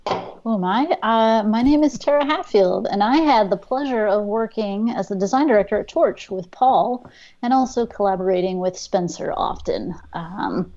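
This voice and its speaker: A woman's voice: mid-range voice, moderate pace, warm tone, highs cut off. A woman who is a guest on a live stream, introducing herself in a friendly, upbeat way. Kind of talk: casual interview chat.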